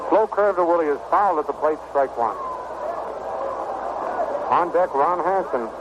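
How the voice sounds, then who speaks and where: American guy doing 1960s radio baseball play-by-play, highish voice, really noisy old recording. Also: expressive, a little slow. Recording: noisy, muffled vintage 1960 radio broadcast.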